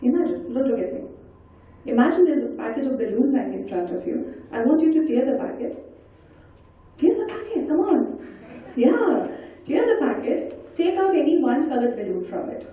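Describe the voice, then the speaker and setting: A woman giving an interactive storytelling talk, animated and coaxing as she puts questions and instructions to her listeners. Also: high voice, normal speed. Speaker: storyteller and speaker leading an audience activity. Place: large indoor event hall. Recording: very echoey hall, distant mic, dull sound.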